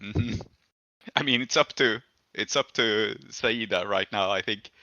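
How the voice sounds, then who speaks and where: Low, bright-voiced man running a tabletop game. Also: measured pace, no room sound, dull audio. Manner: chuckling, amused, often hedging as he improvises. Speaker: game master. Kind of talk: improvised tabletop game narration, casual chat.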